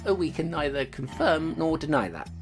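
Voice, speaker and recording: A woman's voice: sits low, sing-song, normal speed. A woman playing a blunt, wry young constable who narrates her case and answers guardedly. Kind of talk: audio drama narration and dialogue. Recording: music playing behind.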